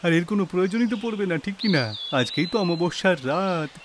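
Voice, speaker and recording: Man as a high-voiced chatty young villager. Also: fast, noisy background, close to an everyday voice. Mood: worried gossip. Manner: chatty, explaining. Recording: music behind the voice.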